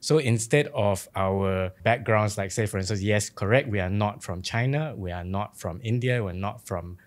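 A man's voice: on the low side, moderate pace. A middle-aged man with a Singaporean English accent, reflecting thoughtfully and arguing through analogy, on a studio mic. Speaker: panel guest. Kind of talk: reflective casual discussion. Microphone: boom-arm studio mic.

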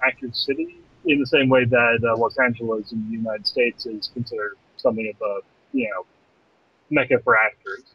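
A man's voice: medium pitch, fairly even intonation, a little fast, slightly rough, no room sound. A man hosting a podcast interview, asking long, somewhat formal questions with frequent hesitations. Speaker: podcast host. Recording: dry muffled call audio.

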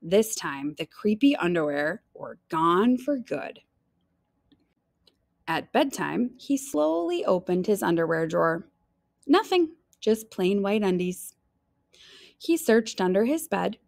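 A woman reading a children's picture book aloud in an expressive style, stretching key phrases for drama. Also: medium pitch, slow, gravelly, dry recording, studio quality.

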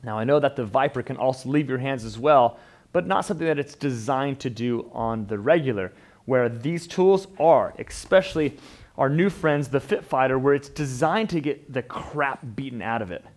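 A man's voice: medium pitch, expressive, normal speed. Enthusiastic man teaching in a gym. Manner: enthusiastic, emphatic, repeats words when restarting. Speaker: fitness educator. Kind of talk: unscripted equipment walkthrough to camera. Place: indoor gym studio with turf floor.

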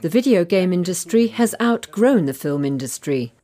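A woman reading a scripted news feature narration in a measured, even way. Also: lowish voice.

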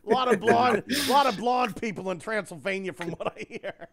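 A man co-hosting a casual podcast, loud and profane, joking and mocking as he talks. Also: high-pitched, a little fast, a loud crowd. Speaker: podcast co-host. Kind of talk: crude casual podcast chat.

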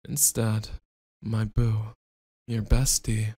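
A man playing a jealous, possessive bully boyfriend in an ASMR roleplay, taunting with slow, deliberate, mocking menace. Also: on the low side, little pitch movement, dark tone, husky, dry recording.

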